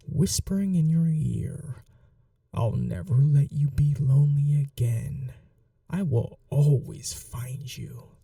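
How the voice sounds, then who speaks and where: Woman doing a possessive cruel vampire lady for asmr, slow n creaky, breathy half whispers, tender but menacing. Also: a bass voice, warm tone, no echo, clean studio sound, recorded from a distance, exaggerated voice. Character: cruel, possessive vampire mistress, silkily taunting her betrothed captive. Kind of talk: ASMR audio roleplay, dramatic acting.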